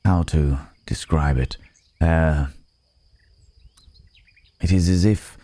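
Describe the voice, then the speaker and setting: A man playing a weary, remorseful werewolf in human form, speaking haltingly and gravely in an intimate ASMR roleplay. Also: extremely low pitch, mellow, no echo, full character voice.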